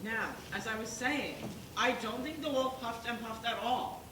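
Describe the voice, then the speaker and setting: A woman's voice: a little high, normal speed, lightly acted. Woman as reasonable pig juror, questioning, stage play, distant from mic, echoey room. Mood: reasoning, doubtful. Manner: questioning, argumentative. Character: reasonable pig juror, calmly doubting the wolf's guilt. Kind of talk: school stage play acting. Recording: roomy, distant, noisy stage recording.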